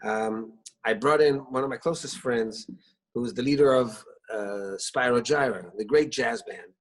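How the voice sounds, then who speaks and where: A man's voice: mid-range voice, measured pace. A middle-aged man born in Israel whose first language is Hebrew, talking at home over a video call, with a lot of restarts and fillers as he tells stories. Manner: hesitant, many restarts and fillers, warm storytelling. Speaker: singer-songwriter guest. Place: his living room at home.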